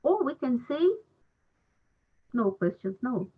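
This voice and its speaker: Woman hosting an online panel, hesitant English, husky voice on a phone-like line. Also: a little high, very animated. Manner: halting non-native English, many fillers. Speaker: round table moderator. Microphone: video call. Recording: husky voice over a tinny call line.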